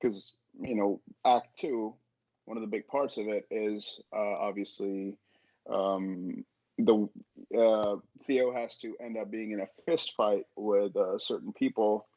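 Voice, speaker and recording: Male author guest, lower register, thoughtful casual talk, slightly dull recording. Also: steady tone, takes it slowly, a little mellow, no room sound. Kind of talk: casual reflective discussion. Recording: dull, close dry audio.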